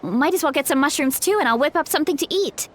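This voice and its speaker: A woman's voice: high voice, animated, fast, slight background noise. A woman voicing a cheerful, chatty young fruit grower with a big appetite in a video game. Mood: cheerful, friendly. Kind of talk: video game character voice acting.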